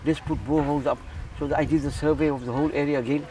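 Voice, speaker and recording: A man's voice: fairly high pitch, fairly even intonation, racing, warm tone, far from the mic, poor audio. A man from Zimbabwe who runs a charity, talking earnestly in a casual interview and often repeating words as he restarts. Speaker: charity founder.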